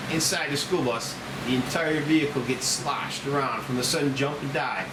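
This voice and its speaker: A man with a mid-pitched voice narrates a screenplay, reading scene headings and action. He sounds distant from the mic in an echoey room with loud noise. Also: moderate pace. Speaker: stage-direction narrator.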